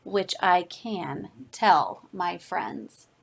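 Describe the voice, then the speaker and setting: A woman's voice: lowish voice, extremely slow, vocal fry, voice pushed down, heavily acted, rough recording. A woman voicing a restless, childlike talking shape in a storybook, with an earnest delivery. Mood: content.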